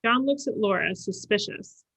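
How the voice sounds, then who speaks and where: Bright-voiced woman reading stage directions. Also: mid-range voice, moderate pace, vocal fry, slightly rough. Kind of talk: scripted narration at a table read.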